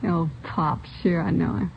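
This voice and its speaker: An actress playing a breezy, streetwise nightclub performer in a vintage radio drama, talking in casual slang. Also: female voice, on the low side, fairly even intonation, unhurried, vocal fry. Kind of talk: 1940s-50s radio drama acting. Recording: muffled noisy old radio recording.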